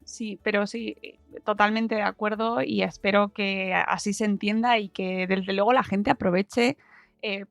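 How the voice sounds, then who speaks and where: A woman's voice: medium pitch, vocal fry, no echo. A woman with an accent from Spain hosting a casual podcast interview, speaking informally and expressively and appealing to her listeners.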